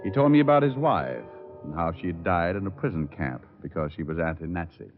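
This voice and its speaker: Deep-voiced radio private eye. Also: male voice, expressive, brisk, over music. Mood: reflective narrating. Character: cool, courteous male private detective, narrator-hero. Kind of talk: 1940s-50s hardboiled radio drama acting. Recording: muffled, phone-like old radio recording.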